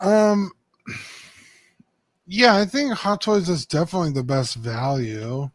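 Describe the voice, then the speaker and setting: A young man talks loosely on a hobby podcast, filling gaps with ums and dropping the odd curse. His voice sits on the higher side for a man. The sound is close and dry, with no room around it. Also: measured pace, no room sound. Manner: loose, rambling, many ums and swears. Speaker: co-host. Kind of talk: casual podcast chat.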